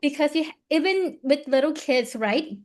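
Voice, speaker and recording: A woman's voice: high voice, lively intonation, normal speed. A woman from Thailand, a guest in an online class, explaining in a friendly storytelling way over a video call. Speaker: guest speaker.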